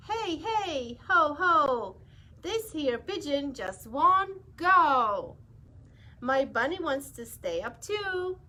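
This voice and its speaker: A woman's voice: high-pitched, exaggerated voice. A woman voicing a stubborn young male pigeon who wheedles and bargains to stay up late. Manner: wheedling, pleading, loud bargaining.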